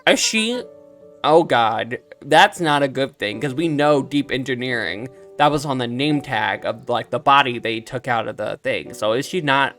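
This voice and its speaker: A man's voice: mid-range voice, normal speed, a bit bright, faint music behind. A man giving casual, chatty game commentary, reacting and musing aloud as he plays. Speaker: let's play streamer.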